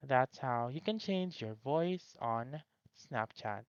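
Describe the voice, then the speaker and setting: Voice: medium pitch, animated, takes it slowly, clear, resonant tone, dry recording, dull audio. A tutorial host giving simple step-by-step instructions for a phone app in a voice-over.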